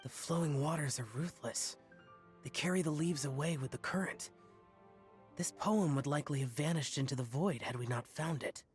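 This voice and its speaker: A man's voice: fairly high pitch, normal speed, soft background music, close to an everyday voice. A man playing an aloof, solemn, youthful demon-slaying guardian in an anime-style game, terse and formal. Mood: solemn, detached.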